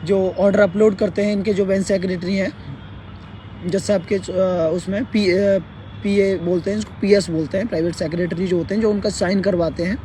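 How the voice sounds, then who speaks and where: A man with a sore, hoarse throat hosting a casual live update, halting with fillers and clearing his throat. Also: sits high, steady tone, warm tone, slight background noise. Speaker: live stream host. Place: sitting outdoors near a court.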